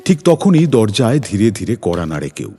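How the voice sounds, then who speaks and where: Low, animated male story narrator. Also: moderate pace. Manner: dramatic, suspenseful. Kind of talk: scripted suspense story narration. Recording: music behind the voice.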